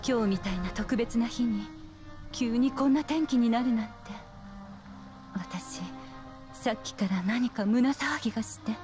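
An actress playing a gentle young queen and new mother in a video game, speaking in a tender, refined, soft way. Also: on the high side, a little slow, lightly acted. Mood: uneasy. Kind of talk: dramatic video game voice acting. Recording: game music behind.

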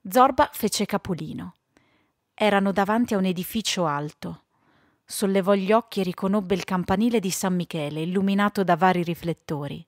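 A woman narrates a storybook in scripted audiobook style. Her pitch sits in the middle, and the recording is dry and close. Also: a little fast, no echo.

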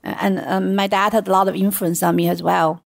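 A woman's voice: mid-range voice, little pitch movement, moderate pace, creaky, dry recording. Middle-aged woman with a Chinese accent, chatty interview guest, dead-dry mic. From China. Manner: chatty storytelling with light laughs. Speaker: guest, venture investor. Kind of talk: casual interview.

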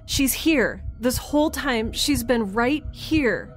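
A woman playing a nervous, wry young scientist who narrates a field log in a halting, conversational way for an audio drama. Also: on the high side, lively intonation, soft background music. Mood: shaken, grieving. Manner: halting, self-interrupting, conversational.

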